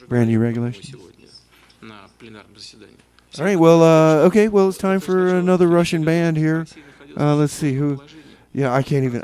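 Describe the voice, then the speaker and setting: A man hosting a radio show with casual, rambling DJ patter in a halting, tongue-in-cheek, mock-official manner. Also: mid-range voice, mellow.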